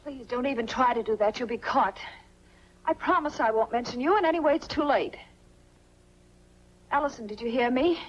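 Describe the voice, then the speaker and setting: A woman playing a frightened, pleading young woman in an old 1940s film noir. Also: high voice. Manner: earnest, pleading. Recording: dull, band-limited 1940s film soundtrack.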